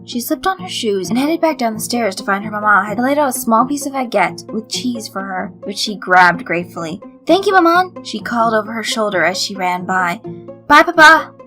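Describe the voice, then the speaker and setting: A woman reading a scripted story as narrator, in an expressive storytelling style. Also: medium pitch, a little fast, few pauses. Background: light background music.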